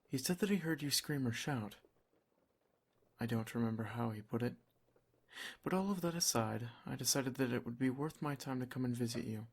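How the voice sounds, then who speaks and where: A man playing a doting, protective vampire lord in an ASMR roleplay, soft-spoken, formal and reassuring. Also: mid-range voice, natural voice. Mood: calm, concerned.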